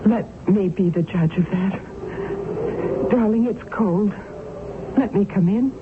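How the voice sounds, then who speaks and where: A woman's voice: mid-range voice, takes it slowly, lightly acted. An actress playing a tender, lovestruck young lady in a radio drama, earnest and formal. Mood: pleading. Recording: muffled, noisy old radio broadcast.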